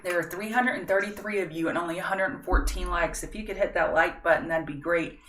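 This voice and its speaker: A middle-aged woman with a drawl, walking viewers through stock charts in a chatty, folksy way. Her voice sits fairly low, and it comes through a roomy, echoing space with the mic set some distance away. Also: fairly even intonation, quick pace, fairly bright tone, slight background noise. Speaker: stock market livestream host. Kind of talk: casual market analysis livestream.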